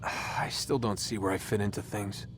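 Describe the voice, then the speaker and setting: A man's voice: on the low side, steady tone, normal speed, fairly bright tone, faint music behind, close to an everyday voice. A male actor playing a defiant, sardonic captive who questions bluntly in a video game. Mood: skeptical.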